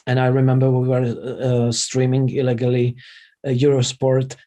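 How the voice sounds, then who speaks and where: A middle-aged man with a Czech accent, chatting casually as an interview guest in halting, non-native English. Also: medium pitch, completely flat delivery, dark tone. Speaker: interview guest, vegan chef and former radio presenter.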